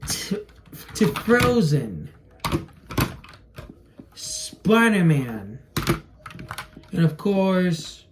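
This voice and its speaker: A man's voice: high-pitched, sing-song, very slow, long pauses. A young man who speaks Spanish natively, chatting in a casual, rambling way as he demonstrates something to camera. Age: young adult. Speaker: toy video creator. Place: small room indoors at home. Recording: clear background noise and clicking.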